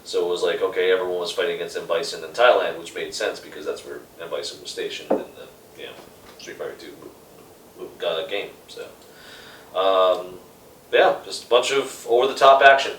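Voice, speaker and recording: Lowish-voiced guy rambling in an echoey room. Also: a bit bright, a little hiss or hum. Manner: halting, rambling, swearing fan enthusiasm. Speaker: co-host of a movie ranking show. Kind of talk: casual chat and debate among friends. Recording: echoey room, distant from the mic.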